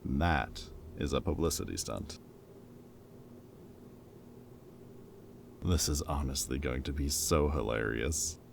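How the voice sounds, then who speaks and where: A man's voice: very deep voice, vocal fry, darkish tone, a little hiss or hum, heavily acted. A man playing a teasing but caring ex-villain in an intimate roleplay audio drama, speaking in casual, wry banter. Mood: amused, planning. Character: teasing, caring male ex-villain planning with old hero friend.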